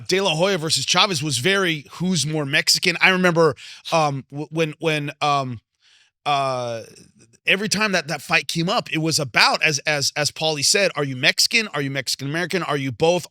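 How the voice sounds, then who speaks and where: A man's voice: on the high side, expressive, fairly quick, bright, forceful tone, no echo, studio quality. A man from Southern California talking casually as a panelist in a sports discussion. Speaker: boxing show panelist.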